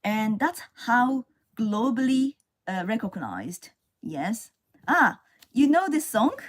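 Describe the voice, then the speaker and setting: Slow-speaking Japanese woman streaming live. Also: fairly high pitch, very animated. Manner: unhurried, stops often with fillers, greets viewers. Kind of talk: casual live-stream chat.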